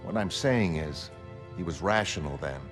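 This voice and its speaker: A low-pitched middle-aged man, as an actor plays him, a former costumed hero in a comic-book drama. He is earnest and wistful, speaking hesitantly and reflectively. Music sits behind the voice. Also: expressive, moderate pace. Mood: reflective, troubled.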